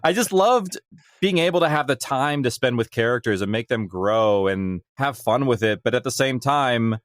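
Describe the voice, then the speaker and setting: A man's voice: mid-range voice, animated, moderate pace, no room sound, clean studio sound. A man around his thirties or forties, explaining his creative work as a guest in an interview. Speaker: podcast guest, audio drama creator. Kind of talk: interview conversation, explanatory storytelling.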